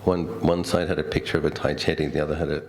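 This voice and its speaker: A middle-aged Australian man, a Buddhist monk, giving a conversational, reflective dhamma talk built on personal stories, with thoughtful pauses. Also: rumbling low, flat delivery, slight background noise.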